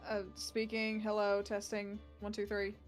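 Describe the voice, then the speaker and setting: A woman's voice: medium pitch, monotone, a little slow. A woman talking casually as a guest on a fandub stream, with faint music behind. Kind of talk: casual chat.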